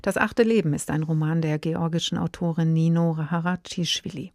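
Low-voiced woman presenting on radio. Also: fairly even intonation, moderate pace, darkish tone, dry recording, clean studio sound. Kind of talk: scripted radio moderation.

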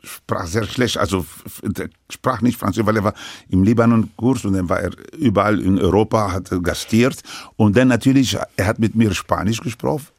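Older male interviewee, French first language, deep husky voice, dry close sound, halting delivery. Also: dry recording. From Lebanon. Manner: halting, with many restarts and broken grammar. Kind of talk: recounting his life story.

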